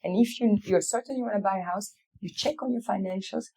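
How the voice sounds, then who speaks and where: A woman from Africa gives heartfelt advice as an interview guest. She speaks emphatically at a middle pitch, circling back to key phrases and repeating them for weight. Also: fast talker, dark tone, breathy edge, rough recording. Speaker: interview guest, real estate company CEO. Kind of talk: motivational interview conversation.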